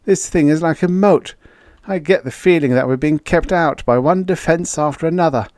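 A man performs a frightened, worn-out explorer on Mars in an audiobook story. The voice is pushed up high and sounds strained, emphatic and panting, recorded very dry and close. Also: moderate pace, a little mellow, no echo, close to an everyday voice. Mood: weary dread. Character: male Mars explorer, frightened, weary and queasy.

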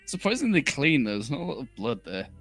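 Dude cracking jokes over game cutscenes, normal pitch, casual, quiet music in the background. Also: male voice, big pitch swings, quick pace. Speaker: co-commentator reacting to game cutscenes. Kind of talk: casual joking commentary. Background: faint game music.

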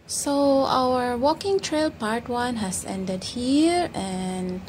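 A woman vlogging on a forest hiking trail, speaking slowly and mixing English with Tagalog, recorded on a handheld phone outdoors. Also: a little high. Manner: slow, mixes English and Tagalog. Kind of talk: casual vlog narration while hiking. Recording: outdoor phone audio with loud background noise.

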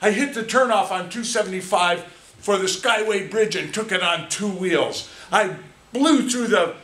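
An American man in his sixties giving a theatrical storytelling speech, dramatic and emphatic with deliberate pauses. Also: high-pitched, a bit bright. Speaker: speech contest competitor. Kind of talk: theatrical tall-tale storytelling speech to a live audience. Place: small meeting room, indoors. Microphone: camera a few meters away. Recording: echoey room, faint noise, distant camera mic.

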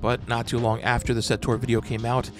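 A man's voice: mid-range voice, completely flat delivery, brisk. A man hosting an explainer, reading scripted narration in a breakdown style. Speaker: host of fan breakdown channel. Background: background music.

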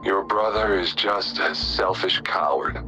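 Remorseful older brother, solemn, resolute, very slow delivery, video game dialogue, clear music behind. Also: male voice, deep voice, rough recording.

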